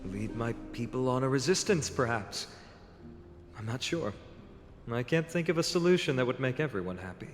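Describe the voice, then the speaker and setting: An actor playing a calm, thoughtful male companion in a video game, with a reflective, restrained way of talking. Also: mid-range voice, normal speed, a little hiss or hum, lightly acted. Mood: pensive. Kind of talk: dramatic video game dialogue. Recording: long hall reverb, clear background music.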